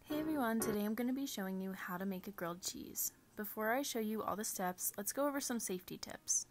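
A woman's voice: medium pitch, fast. A woman in her twenties presenting a cooking lesson for children, narrating step by step in simple instructions. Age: 20s.

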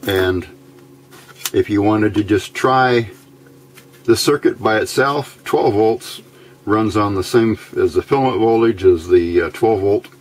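A man with a fairly low voice presents a casual electronics tutorial. He talks very slowly and methodically, pausing as he picks his words. His delivery is plain-spoken, that of a hobbyist explaining his work at the bench. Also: a little hiss or hum. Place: indoors at a workbench.

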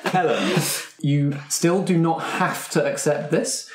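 A man's voice: a little high, expressive, takes it slowly, heavy background noise. A man hosting an improvised tabletop game as game master, prompting players and giving rulings. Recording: roomy, echoing room sound.

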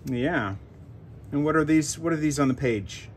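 A man's voice: fairly high pitch, moderate pace, a little hiss or hum. A father chatting and reading casually with a toddler, playfully prompting with simple questions. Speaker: father reading to his young daughter. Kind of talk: casual read-aloud and chat with a toddler. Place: carpeted room at home.

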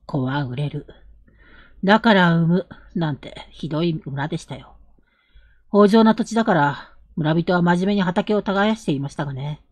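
Woman acting husky, earnest young elder brother. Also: on the low side, animated, normal speed, no room sound. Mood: bitter, restrained. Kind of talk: Japanese period audio drama acting.

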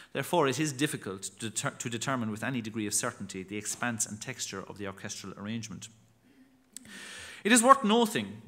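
A man in his thirties reads a formal lecture from a lectern microphone in a chapel. His voice is bright and clear, his delivery measured, with the odd restart on a word. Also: medium pitch. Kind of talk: reading a prepared academic talk.

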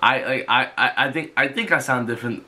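A man's voice: medium pitch, brisk, pushed and bright. A young man from Georgia, talking casually to camera in his bedroom with a stuffy nose from a cold, rambling through many restarts. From Georgia, USA. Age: young adult. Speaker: vlogger. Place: bedroom, indoors. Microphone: camera in front of him.

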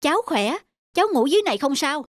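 Woman voicing a gentle young peasant man. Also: high-pitched, sing-song, fast. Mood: polite gentle. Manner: polite and soft-spoken. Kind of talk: cartoon voice acting. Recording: clear background music.

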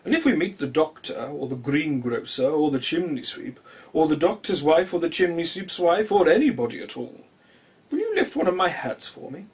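A man voicing a polite, cheerful, eccentric storybook gentleman in a courteous, jaunty, emphatic manner. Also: on the high side, big pitch swings, normal speed, slightly rough, heavily acted. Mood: friendly, asking.

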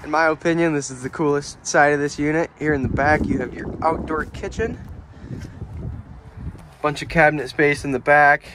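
A young man giving a relaxed, plainspoken walkaround tour of a small camping trailer, filmed on a handheld phone outdoors and then inside. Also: on the high side, moderate pace, background noise. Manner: relaxed, plainspoken, pointing things out as he goes.

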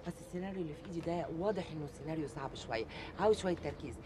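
A woman's voice: deep voice, racing, background noise, lightly acted. A woman playing a gracious busy actress. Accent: Egyptian Arabic. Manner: polite, gracious, laughing off praise.

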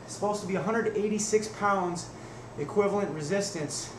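High-voiced young man, echoey home webcam. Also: moderate pace. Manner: blunt, swears, strains and grunts through reps. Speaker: fitness vlogger. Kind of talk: casual workout vlog. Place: small room at home. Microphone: webcam at desk distance. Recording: echoey room, noisy webcam audio.

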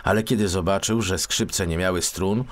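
A man narrating a children's story as an audiobook, in an expressive storytelling style. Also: deep voice, normal speed.

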